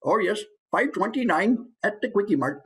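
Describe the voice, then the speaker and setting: Obliging shop clerk, Indian accent, back-of-throat sound, high pitch, cartoon style. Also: male voice, animated, moderate pace, breathy edge, full character voice. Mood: eager, obliging. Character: eager Indian convenience store clerk, cartoonish.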